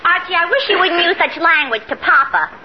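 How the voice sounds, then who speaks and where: High-pitched scolding young woman. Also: animated, brisk. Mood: reproachful. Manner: prim, reproachful. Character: scolding young woman, the tavern owner's daughter. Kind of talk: 1950s radio comedy acting. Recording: very noisy, muffled old radio recording.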